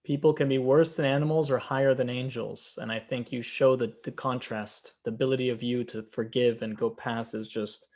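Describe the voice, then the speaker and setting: Man, rabbi, interview host, gentle, appreciative, mid-pitched voice. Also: fairly even intonation, moderate pace, hardly any pauses. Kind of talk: interview conversation.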